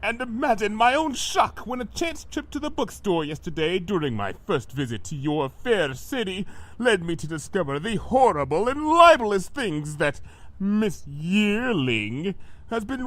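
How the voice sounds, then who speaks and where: A man's voice: sits high, very animated, unhurried, a bit bright, no room sound, exaggerated voice. A man voicing a smug, pompous villainous monster with florid, theatrical speech in a fan fiction audio drama.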